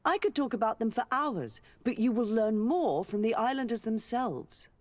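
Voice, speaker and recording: An actress playing a learned, diplomatic noblewoman governor in a video game, speaking in a measured, formal way. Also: a little high, animated, darkish tone, lightly acted. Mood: fascinated, respectful. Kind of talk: scripted video game dialogue.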